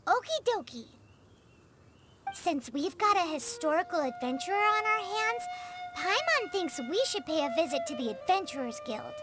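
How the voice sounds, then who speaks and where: Squeaky, tiny, excitable fairy girl. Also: normal speed. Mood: perky. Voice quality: squeaky, childlike. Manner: talks of herself in third person, perky. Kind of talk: video game voice acting. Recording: music behind the voice.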